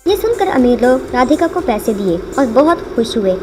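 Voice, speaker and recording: Female storybook narrator, high register, scripted read over a music bed. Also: sing-song, normal speed, darkish tone. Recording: music behind the voice.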